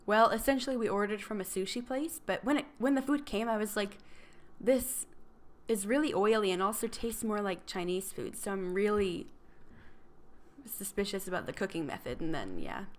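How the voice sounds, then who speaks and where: A woman's voice: mid-range voice, expressive, moderate pace. A woman co-hosting a podcast in casual chat, rambling with fillers and laughs. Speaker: podcast co-host.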